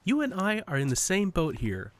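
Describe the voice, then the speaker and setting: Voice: mid-range voice, huge pitch swings, moderate pace. An actor playing an uncannily cheerful entity that poses as a best friend, overly friendly, coy and needling, in an audio drama. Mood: mock hurt.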